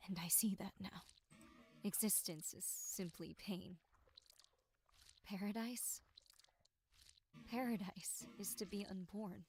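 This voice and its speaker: A woman voicing a tormented, despairing victim in a horror game monologue, with breathy delivery that slips into whispers. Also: medium pitch, a little hiss or hum, lightly acted. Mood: bitter anguish. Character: tormented, despairing young victim musing bleakly on existence. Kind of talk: dramatic first-person horror game monologue.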